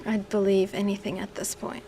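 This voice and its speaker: A woman's voice: medium pitch, little pitch movement, normal speed, warm tone, slight background noise, natural voice. An actress playing a cautious, skeptical survivor in an audio drama, wary and blunt in her delivery.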